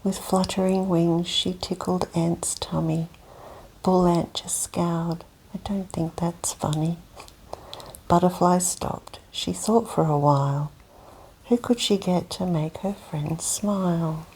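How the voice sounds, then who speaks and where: A woman whispering softly as she reads a children's picture book aloud for an ASMR session. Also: fairly low pitch, fairly even intonation, takes it slowly, a little hiss or hum, rough recording. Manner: soft gentle whisper. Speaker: host. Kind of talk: ASMR whispered storybook reading.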